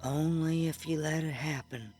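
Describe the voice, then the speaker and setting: A man voicing an anxious but determined preteen hillbilly girl in an audio horror story, with deliberate, haunted delivery. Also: fairly high pitch, fairly even intonation, darkish tone, faint music behind, pitched above the performer's own voice, close to an everyday voice. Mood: chanting, insistent.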